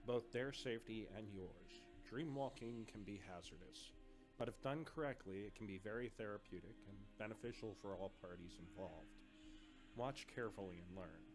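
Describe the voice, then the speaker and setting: An actor playing a pragmatic academy character in an audio drama, direct and instructional in delivery. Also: male voice, lowish voice, moderate pace, music in the background, lightly acted. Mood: instructive.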